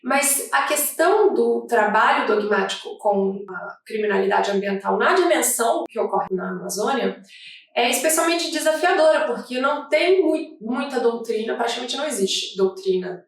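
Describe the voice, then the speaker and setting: A woman federal prosecutor from Brazil, with a Rio de Janeiro accent, giving a measured, thoughtful oral history interview with occasional restarts. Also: fairly high pitch, lively intonation. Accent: Brazilian Portuguese, Rio de Janeiro. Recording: echoey room, mic at a distance.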